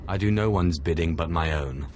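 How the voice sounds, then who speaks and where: A man's voice: sits low, normal speed, darkish tone, a little hiss or hum, close to an everyday voice. A male actor playing an imperious, cold Sith lord in a video game, haughty and threatening in every word. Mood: cold menace.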